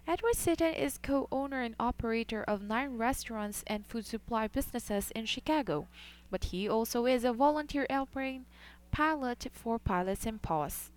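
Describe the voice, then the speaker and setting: Higher-pitched woman reading a news report. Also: smooth, rough recording. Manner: measured, even news delivery. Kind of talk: scripted news narration.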